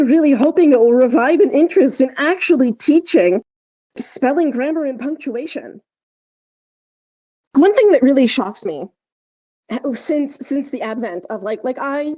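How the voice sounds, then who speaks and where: A woman's voice: fairly high pitch, mellow, muffled recording. A woman chatting casually and emphatically as a podcast co-host, wry and discursive, with plenty of "like" along the way. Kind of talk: casual opinionated podcast chat.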